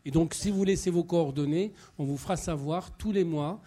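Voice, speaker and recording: Male public speaker from Morocco, very dark mellow tone, slightly high pitch, halting unscripted activist delivery. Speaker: food anthropologist and chef, speaker at a public event. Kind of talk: impromptu public talk, activist.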